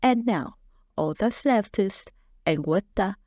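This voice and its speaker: A woman's voice: mid-range voice, animated, takes it slowly, darkish tone, no echo, clean studio sound. A woman with a non-native English accent narrating a home cooking recipe as a voice-over, cheerful and playful. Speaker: cooking channel host.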